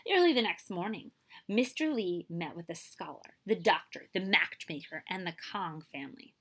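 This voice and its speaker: A woman's voice: lowish voice, expressive, normal speed, fairly bright tone. A middle-aged woman reading a children's picture book aloud with expression. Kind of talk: storybook read-aloud. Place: small indoor room.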